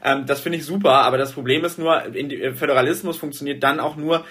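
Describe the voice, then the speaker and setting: A man's voice: mid-range voice, steady tone, fast talker, a bit bright, breathy edge. A man answering interview questions as a student representative, with many fillers and restarts.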